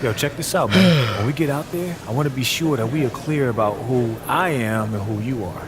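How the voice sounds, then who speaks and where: A man's voice: mid-range voice, brisk, a crowd in the background, lightly acted. A man playing a hostile, territorial basketball team star, confrontational, in a video game cutscene. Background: music.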